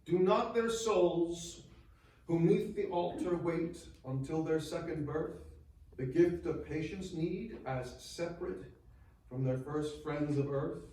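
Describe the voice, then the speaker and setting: A grieving churchman recites from far off in a very echoey space. He is solemn and declamatory, drawing out his mournful phrases very slowly as in a stage drama. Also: a little high, darkish tone. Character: grieving churchman bidding a dear lost friend farewell. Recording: distant, very echoey live hall sound.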